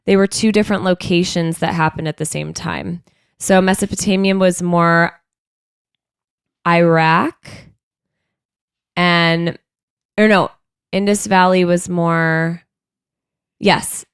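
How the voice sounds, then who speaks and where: A woman with a stuffy, blocked-up nose rambles through a casual podcast chat, padding her stories with fillers. Her voice sits fairly low and comes across smooth and clean. The sound is dry and close, with no room around it. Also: steady tone, slow pace, pauses often, no room sound. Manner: chatty, rambling storytelling with many fillers. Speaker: podcast co-host. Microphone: studio microphone.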